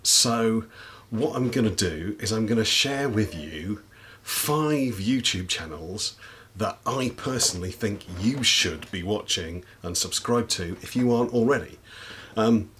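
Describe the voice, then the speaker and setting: Guy rambling to camera, bit husky, deep voice, faint hiss behind. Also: a bit bright. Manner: chatty, rambling, self-deprecating with filler ums. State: slightly husky after lost voice. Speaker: YouTuber. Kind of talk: casual recommendation chat to camera. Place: indoors at home desk with big lights.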